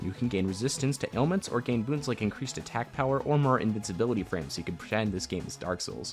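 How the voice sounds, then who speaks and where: A man reading a scripted, comedic video game overview in a sarcastic, profane, irreverent way. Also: on the low side. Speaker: video creator and narrator. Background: instrumental music.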